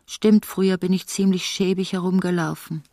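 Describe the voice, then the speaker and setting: Actress as proud defiant revolutionary woman, lowish voice, dry close studio sound, radio drama, haunted. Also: flat delivery, normal speed, mellow, dry recording, lightly acted. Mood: teasing. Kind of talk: radio play acting with narration.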